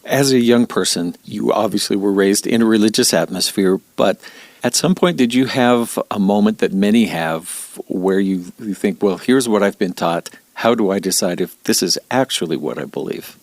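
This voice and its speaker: A man hosting an interview show, putting questions to his guest in a gentle, easygoing, conversational way. His voice is fairly low. The sound is dry and close, with no room echo. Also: moderate pace. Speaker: interview host.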